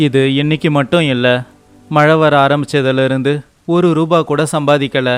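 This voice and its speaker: A man playing a poor, devout, hardworking rickshaw driver and devoted husband in an animated moral story, earnest, pleading and respectful. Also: a little high, moderate pace, clean tone, soft background music, close to an everyday voice. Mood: worried, pleading.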